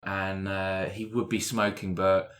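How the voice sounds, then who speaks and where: A man with a fairly low voice describes a scene as a player in a roleplaying show. He improvises casually and haltingly, adding detail as he goes. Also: little pitch movement, fairly bright tone.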